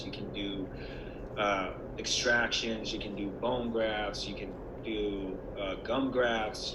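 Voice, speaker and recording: Echoey male dentist presenting informally. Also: fairly low pitch. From born and raised in Iran until age ten, then the United States. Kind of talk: informal online presentation to students. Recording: echoey room with clear background noise.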